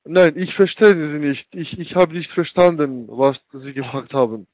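A man's voice: a little high, normal speed, darkish tone, no echo, dull audio. A man working a call center line, flustered and apologetic, often asking the caller to repeat. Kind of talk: phone call.

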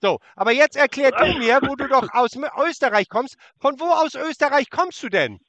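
A man with a very high voice mocks a scammer on the phone, taunting and throwing insults while he talks over him. His tone is bright and sharp. The sound is close and dry. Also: expressive, moderate pace, no room sound, muffled recording. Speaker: prank caller baiting a scammer. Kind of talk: mocking phone prank.

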